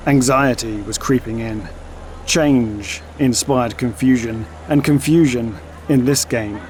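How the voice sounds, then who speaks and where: A man's voice: medium pitch, a little mellow. A man reading scripted narration for an audio drama.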